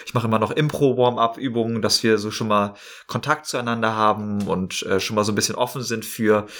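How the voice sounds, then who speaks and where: A man explaining his podcast work in a casual interview, in an animated conversational way. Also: lowish voice, fairly quick. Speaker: podcast maker, editor and actor.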